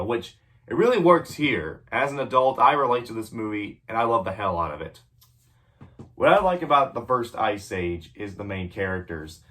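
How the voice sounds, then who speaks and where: A man's voice: fairly low pitch, fairly bright tone. A young adult man with a cold, reviewing movies in a casual, rambling way, full of ums and self-corrections. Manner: rambling, frequent ums and self-corrections, clears throat often. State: sick with a cold, voice a bit off. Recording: voice a bit distant from the mic.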